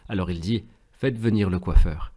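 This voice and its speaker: Man as a low-voiced, pious cartoon father. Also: dark tone. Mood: earnest teaching. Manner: measured, didactic. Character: pious, gentle, patient cartoon father teaching his children. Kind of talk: French children's cartoon dubbing, storytelling.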